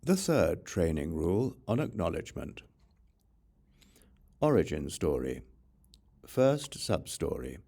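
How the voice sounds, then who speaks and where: Low, mellow male audiobook narrator. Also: big pitch swings, breathy edge. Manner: measured, formal recitation. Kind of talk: scripted narration of scripture.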